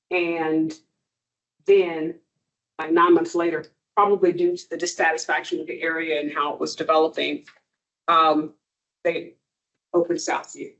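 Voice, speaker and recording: A woman historian giving an informal lecture to an audience, talking loosely with frequent ums and restarts. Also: on the low side. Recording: dull, muffled lo-fi sound.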